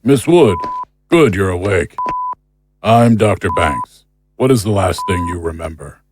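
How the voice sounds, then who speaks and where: A man's voice: low-pitched, expressive, measured pace. An actor playing a male dramatic role in an audio drama. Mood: clinical, cold. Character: uneasy man, turning cruel fake doctor in dreams. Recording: clear background noise.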